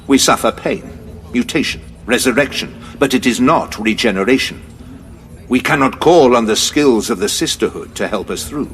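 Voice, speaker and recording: An actor playing a grave male general in an audio drama, briefing in a measured, formal way. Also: medium pitch, a bit bright, natural voice.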